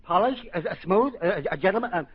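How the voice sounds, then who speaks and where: Brief: a high-voiced, stammering radio-comedy executive, bumbling and flustered, on a muffled 1940s broadcast. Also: male voice, animated, quick pace. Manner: stammers and repeats words constantly.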